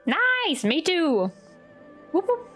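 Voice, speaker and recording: A woman's voice: extremely high pitch, sing-song, drawn-out words, fairly bright tone, faint music behind. A woman streaming a game, reacting in casual, chatty, exclamatory commentary.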